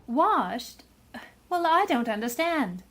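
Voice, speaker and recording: A woman playing a fragile, distraught society matron in a radio mystery, halting and emotional. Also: high voice, sing-song, heavily acted. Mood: bewildered. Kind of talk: radio mystery drama acting.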